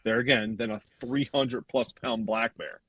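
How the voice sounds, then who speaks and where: A man's voice: mid-range voice, steady tone, normal speed, breathy edge, no room sound, lo-fi sound. A man in his mid-thirties, a guest in a casual chat, agreeable and chatty, often saying yep. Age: 30s.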